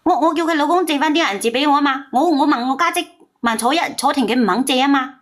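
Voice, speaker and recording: High-pitched, defensive woman. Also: fast. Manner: defensive, self-justifying, insistent. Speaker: caller on a story call-in show. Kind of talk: heated personal confession.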